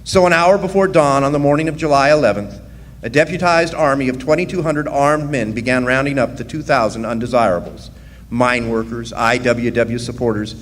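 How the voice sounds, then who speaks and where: A man playing a plainspoken, wry miner who tells his story in a live radio drama, with a folksy and deliberate delivery. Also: mid-range voice, lightly acted. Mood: wry, grave. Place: live performance in a resort hall. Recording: echoey hall stage, faint noise.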